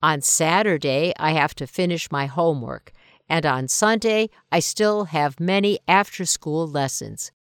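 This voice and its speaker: A woman reads the part of a glum schoolgirl who feels her weekends are too busy, in a smooth, clean voice. Each word comes out carefully enunciated, as on a textbook recording. The sound is close and completely dry. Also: low voice, a little slow, no room sound. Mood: unhappy. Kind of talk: scripted listening-test dialogue. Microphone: close studio mic.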